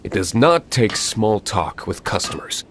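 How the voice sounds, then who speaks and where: An actor playing a gruff, curt, impatient male blacksmith in a video game. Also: on the low side, big pitch swings, unhurried, a bit bright, slight background noise. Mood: grudging. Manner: curt, grumbling, sighing.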